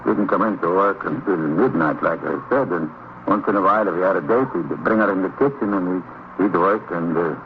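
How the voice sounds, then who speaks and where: Nervous fry cook, tinny radio. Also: male voice, low-pitched. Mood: uneasy, reluctant. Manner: halting, repetitive, agitated. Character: nervous, talkative fry cook, reluctant male witness. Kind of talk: old-time radio police drama. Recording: tinny, noisy old radio recording.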